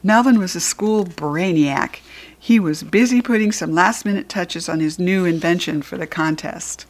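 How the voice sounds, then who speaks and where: An American woman reading a children's book aloud as a storyteller, expressive and with deliberate emphasis for young listeners. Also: fairly low pitch. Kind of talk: animated children's audiobook narration.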